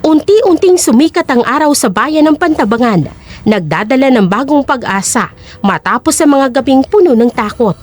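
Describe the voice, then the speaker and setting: Woman narrating a drama story, high voice, soft music behind her. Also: lively intonation, normal speed.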